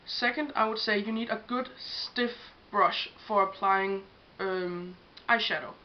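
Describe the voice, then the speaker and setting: A woman's voice: mid-range voice, unhurried. Danish-accented young woman, chatty makeup vlog. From Denmark. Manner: chatty, hesitant, many ums while showing products. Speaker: makeup vlogger. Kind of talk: casual beauty tutorial. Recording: dull lo-fi home recording with faint hiss.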